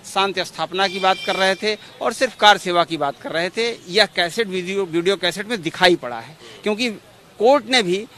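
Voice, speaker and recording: A man's voice: sits high, pushed and bright. A man, a lawyer giving formal, repetitive explanations in a press interview. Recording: outdoor street noise behind.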